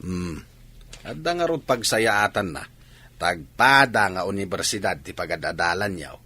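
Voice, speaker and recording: A man's voice: deep voice, expressive, normal speed, sharp tone, breathy edge, no echo, full character voice. A male actor with an Ilocano accent playing a strict but caring middle-aged father in a radio drama. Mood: firm, caring.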